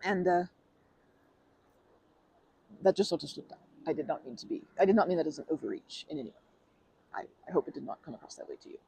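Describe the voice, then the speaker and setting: ASMR role: polite, overly formal female stranger played by a woman, rambling with fillers, gravelly low-bright tone, lively pitch. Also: quick pace, long pauses, heavily acted, low-quality recording. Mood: shy rambling. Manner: rambling, formal phrasing, many fillers and self-corrections. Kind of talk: ASMR roleplay.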